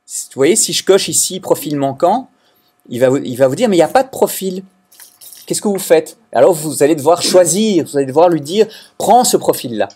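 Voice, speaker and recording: Brief: a man from Belgium, classroom software teacher, husky slightly high voice, hesitant and explanatory. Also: lively intonation, moderate pace. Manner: hesitant, restarts often, explanatory. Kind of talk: recorded classroom lecture.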